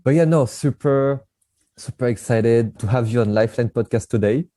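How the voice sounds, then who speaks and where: Upbeat podcast host man, dry mellow voice. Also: medium pitch, moderate pace, dry recording. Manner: enthusiastic, chatty, laughs often. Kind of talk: casual interview, scripted intro.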